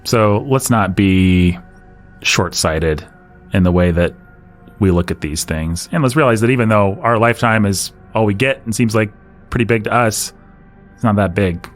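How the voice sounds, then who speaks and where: A man hosting a podcast, talking in a relaxed conversational way and laughing now and then. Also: lowish voice, moderate pace, soft background music. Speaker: podcast host. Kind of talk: casual discussion.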